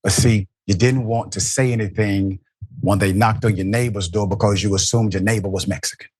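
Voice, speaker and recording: A man's voice: fairly low pitch, steady tone, a little fast, a little mellow, breathy edge, no room sound. A man hosting a political commentary show, talking emphatically and asking rhetorical questions. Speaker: show host.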